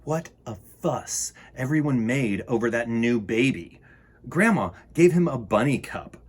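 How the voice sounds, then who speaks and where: A man's voice: medium pitch, lively intonation, a little slow, slightly rough. A man reading a children's picture book aloud with playful, theatrical emphasis and casual asides. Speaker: children's storytime reader.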